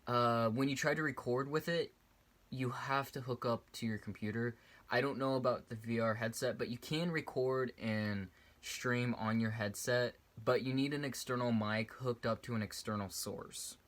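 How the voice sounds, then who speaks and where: A man's voice: medium pitch, fairly even intonation, normal speed, a bit bright. A young man giving a casual, rambling video review, often restarting sentences and drifting off topic. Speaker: YouTuber reviewing a VR headset. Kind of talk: casual product review vlog.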